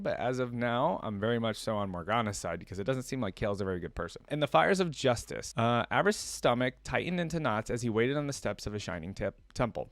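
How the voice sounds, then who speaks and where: A man with a mid-pitched voice hosts a reaction video. He moves between reading lore aloud and informal commentary, thinking out loud as he goes. The sound is dry, with very little room in it. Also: no room sound.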